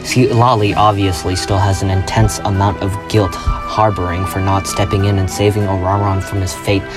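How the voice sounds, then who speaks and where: A man's voice: low voice, little pitch movement, moderate pace. A man presenting a fan theory as a scripted video essay, with dramatic storytelling phrasing. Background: loud music.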